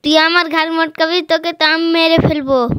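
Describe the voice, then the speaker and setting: Cartoon orphan bird: tiny childlike squeak, high and flat, plaintive but plucky, dry sound. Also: male voice, fairly quick, dry recording. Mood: defiant, threatening. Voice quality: thin, squeaky voice. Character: small orphaned magic bird, childlike, plaintive yet defiant. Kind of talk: amateur cartoon story voicing.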